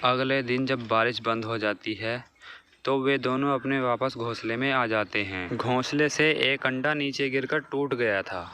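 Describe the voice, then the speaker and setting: A man tells a children's moral tale in scripted narration. His voice sits at a medium pitch with a bright, forceful edge, taking its time, with faint noise behind it. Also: measured pace. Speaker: storyteller.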